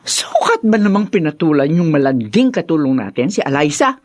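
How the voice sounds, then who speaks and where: An actress playing a haughty, scornful middle-aged rich matriarch in a Tagalog radio drama, with an imperious manner. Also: female voice, low voice, sing-song, moderate pace, a little mellow. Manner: imperious, contemptuous.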